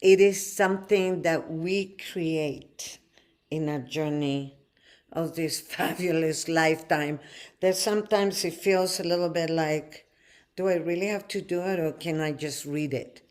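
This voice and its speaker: A woman from Chile, co-hosting a casual podcast as a life coach, reflective with soft laughs. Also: low-pitched, measured pace.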